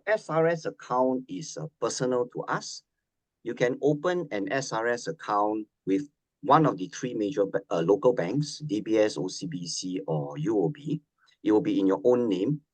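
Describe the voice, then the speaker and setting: A man's voice: mid-range voice, lively intonation, moderate pace, dry recording, rough recording. A man, a financial specialist in a webinar, laying out his advice in orderly numbered points. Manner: methodical, listing points. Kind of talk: webinar presentation.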